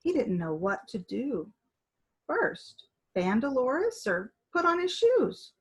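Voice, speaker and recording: A woman reading a children's story aloud in an expressive, dramatic and deliberate way, with a husky voice. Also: high-pitched. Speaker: storybook reader.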